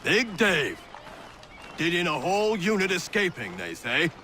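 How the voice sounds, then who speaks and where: Gossipy redcoat-era soldier, casual, high and sharp, faint street noise behind. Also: male voice, very animated, unhurried, heavily acted.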